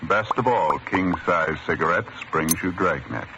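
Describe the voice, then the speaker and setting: A man's voice: deep voice, a little slow, heavy background noise. A man introducing a program in scripted 1950s radio style, solemn and authoritative. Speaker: radio announcer. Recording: muffled vintage radio recording.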